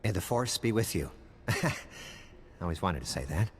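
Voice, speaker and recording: Streetwise private investigator for game cutscene. Wary, wry, lively intonation on the lower side. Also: male voice, normal speed, in a large hall, a little hiss or hum. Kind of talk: video game cutscene acting.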